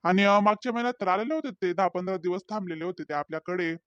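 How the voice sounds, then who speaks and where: Guy doing a sly cartoon husband, kinda high voice, dry close mic. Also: fast talker, a bit bright, smooth, no echo, dull audio. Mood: easygoing. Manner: talkative, wheedling, hesitant fillers. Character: young husband, sly and teasing. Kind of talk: comedy cartoon voice acting.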